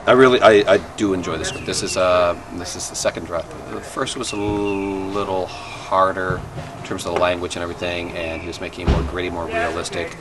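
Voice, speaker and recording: A man's voice: deep voice, fairly even intonation, brisk. A man, a voice actor, giving a thoughtful, hesitant interview in an informal documentary style. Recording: distant mic, clear background noise.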